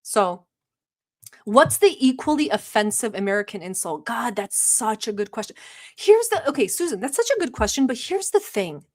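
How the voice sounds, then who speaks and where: A woman's voice: a little high, very animated, moderate pace, a little mellow. Chatty, rambling woman streamer from Turkey. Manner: chatty, rambling, lots of filler words. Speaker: livestream reaction host. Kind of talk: casual livestream commentary.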